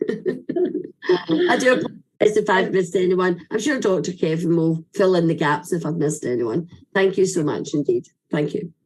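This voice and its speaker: A Scottish woman speaks in a fairly low voice, delivering a heartfelt vote of thanks. Her manner is earnest and inspirational, with effusive, ceremonial phrasing. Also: animated, measured pace, husky, poor audio.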